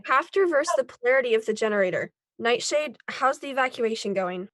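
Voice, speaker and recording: Woman as a high-voiced superhero team leader. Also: expressive, brisk, a bit bright. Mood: focused. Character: composed, commanding female superhero team leader. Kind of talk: table read of an amateur superhero script.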